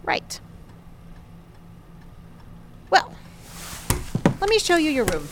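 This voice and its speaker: Theatrical, tart older landlady mother; breezy, animated, slight background ambience. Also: high voice, measured pace, noisy background, exaggerated voice. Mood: wistful, firm. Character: sharp-tongued, eccentric older mother running a boarding house. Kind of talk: naturalistic audio drama acting.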